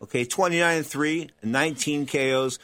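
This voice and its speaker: A man's voice: fairly high pitch, moderate pace, fairly bright tone, dry recording. A man interviewing a boxer, asking blunt, direct questions.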